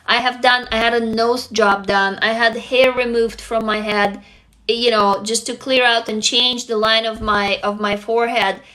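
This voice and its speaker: A woman's voice: on the high side, fairly even intonation, measured pace, sharp tone. A young woman from Russia, whose first language is Russian, hosting a livestream and talking in an earnest, blunt way, swearing casually and reading viewer comments. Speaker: livestream host.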